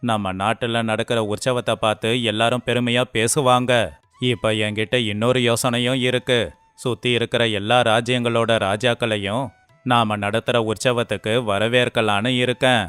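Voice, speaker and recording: Proud, mocking storybook monarch, male actor, mid-pitched and clean, pompous delivery for an animated moral tale. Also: moderate pace, smooth, no room sound, soft background music, close to an everyday voice. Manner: pompous, boastful. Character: proud, scheming, mocking great king of a folktale. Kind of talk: moral story character dialogue.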